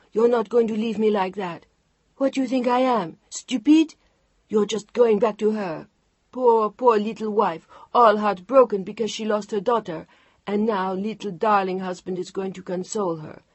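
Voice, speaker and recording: A reader voicing a jealous young Frenchwoman, shrill and shouting in an audiobook. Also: moderate pace, no room sound, muffled recording, lightly acted. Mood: furious. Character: furious jealous French mistress, young woman. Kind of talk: audiobook character voice.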